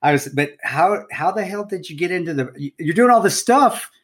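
A man in his fifties recounts memories on a podcast with earnest, halting restarts. His voice sits a little above the usual male range. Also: on the high side, lively intonation, a bit bright. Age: 50s. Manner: earnest storyteller who breaks off and restarts often. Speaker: podcast guest. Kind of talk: casual podcast conversation.

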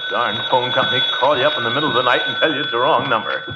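An actor playing a pompous, grumbling, flustered middle-aged uncle in a 1940s style radio comedy. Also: male voice, medium pitch, rapid-fire, lightly acted. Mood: grumpy, flustered. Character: blustering, flustered, self-important uncle of the house. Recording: noisy, muffled vintage radio recording.

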